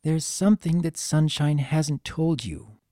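A performer voicing a sympathetic, sorrowful adult female pony nurse with a soft, gentle manner, in a story reading. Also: medium pitch, expressive, normal speed, no echo, studio quality, lightly acted.

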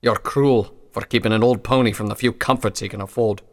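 A man's voice: on the low side, fairly even intonation, fairly quick, long reverb tail, lightly acted. A man voicing a grouchy, cynical old male pony doctor who grumbles and snaps in a story. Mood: irritated. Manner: snappish, grumbling, dismissive.

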